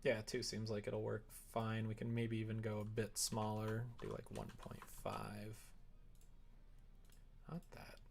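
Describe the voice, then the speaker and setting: Male streamer, lower register, strong vocal fry, informal work-along chat, half talking to himself. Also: monotone, unhurried. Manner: informal, muttering to himself while working. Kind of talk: casual livestream chat while coding a visual novel.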